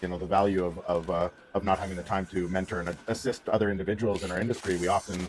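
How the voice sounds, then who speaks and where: Low-voiced man, halting and reflective. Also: little pitch movement, slight background noise. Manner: halting, searching for words. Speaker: livestream co-host. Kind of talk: casual, reflective chat.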